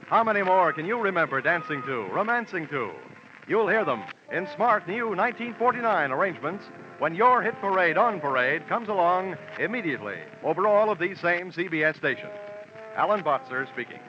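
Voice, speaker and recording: High-pitched 1940s American radio announcer man. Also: lively intonation, a little fast. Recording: dull vintage 1940s radio recording. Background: music.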